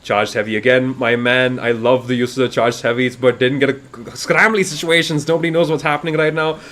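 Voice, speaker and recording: Guy from india casting a fighting game tournament live, voice going a bit raspy, rambly and hyped. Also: medium pitch, brisk. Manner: excitable, rambling, jumps between hype and quiet asides. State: voice getting raspy. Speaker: esports commentator.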